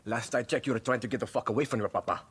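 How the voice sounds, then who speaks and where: Very husky, blunt guerrilla fighter. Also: male voice, medium pitch, rapid-fire, a bit bright. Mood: guarded. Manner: sardonic, blunt.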